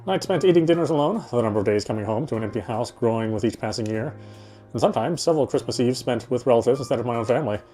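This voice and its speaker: A man streaming a game, chatting casually and reading its story aloud, often halting and correcting himself. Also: mid-range voice, expressive, mellow. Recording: faint game music behind.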